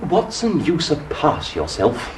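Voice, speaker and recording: Peevish English husband, wildly swooping delivery. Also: male voice, on the high side, wildly swooping pitch, slow pace, slight background noise, exaggerated voice, rough recording. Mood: sarcastic. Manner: peevish, exasperated, dryly sarcastic. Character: grumbling, put-upon husband stuck in a farce. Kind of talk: vintage British stage farce acting.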